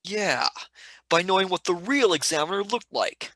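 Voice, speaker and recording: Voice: medium pitch, lively intonation, normal speed, bright, forceful tone, no room sound. An actor playing a cheeky, loyal young sidekick in a radio play, blunt and colloquial in manner. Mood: cheeky, defiant.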